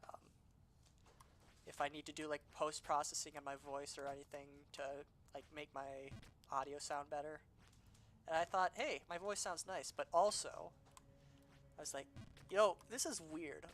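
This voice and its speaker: Young male streamer, nasal and bright. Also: a little high, fairly even intonation, normal speed, pauses often, slight background noise. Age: young adult. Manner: rambling, self-deprecating, lots of fillers and restarts. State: slightly nasal from allergies. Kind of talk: casual gaming stream chatter.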